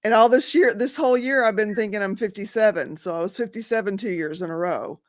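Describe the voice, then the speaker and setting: A woman in her fifties in a casual recovery meeting chat, chatty and quick to laugh, asking follow-up questions. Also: mid-range voice, dry recording, clean studio sound. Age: 50s.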